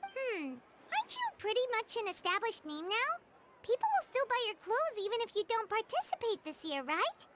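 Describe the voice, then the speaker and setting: A woman voicing a small, curious, chatty childlike fairy companion in an anime-style video game, with a squeaky voice. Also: very animated, normal speed, noisy background. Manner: refers to itself in the third person, inquisitive.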